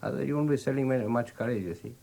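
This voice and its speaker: A man's voice: mid-range voice, very fast, gravelly, warm tone. Indian accent guy, runs a restaurant, explains stuff patiently w lots of uhs. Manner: patient explaining, frequent fillers. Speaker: restaurant owner. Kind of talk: explaining, casual interview.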